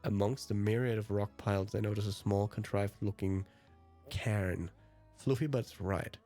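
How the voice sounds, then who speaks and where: A man's voice: fairly low pitch, steady tone, moderate pace, dark tone. A native German speaker, a man, narrating and commenting on a visual novel as a let's play host. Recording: music behind the voice.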